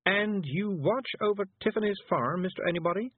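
Man voicing a firm, wry witch, raised pitch. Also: a little fast, breathy edge, no room sound, phone-quality sound. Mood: curious.

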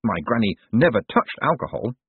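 A man voicing a quick-witted, weary rogue banker in an audiobook, casual and wry. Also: on the low side, very animated, slightly rough, no room sound, phone-quality sound, exaggerated voice.

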